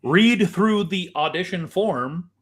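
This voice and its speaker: A man's voice: sits high, expressive, takes it slowly. A man giving emphatic, instructive advice in a conversational way, as a podcast host who directs and produces.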